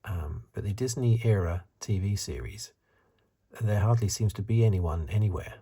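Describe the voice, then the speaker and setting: A very breathy, soft-spoken man delivers ASMR commentary, often slipping into a whisper. His voice is deep and dark. He muses in a conversational, hesitant way, as if thinking aloud. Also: moderate pace. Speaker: ASMR reviewer.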